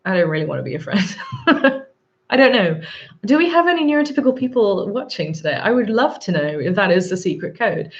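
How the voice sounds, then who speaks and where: A woman in her thirties with a sore throat and a husky voice, hosting a live stream, chatting casually and rambling with frequent ums. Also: lowish voice, muffled recording. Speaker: livestream host. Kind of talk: live chat reading viewer comments.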